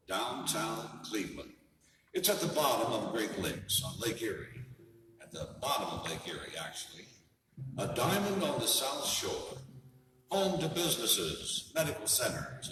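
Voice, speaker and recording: A man's voice: fairly low pitch, pushed and bright, exaggerated voice. Man playing a wry noir detective, very echoey. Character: male private detective narrating his city, wry and noirish. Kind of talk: noir parody radio play narration. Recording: noisy echoey hall from far off.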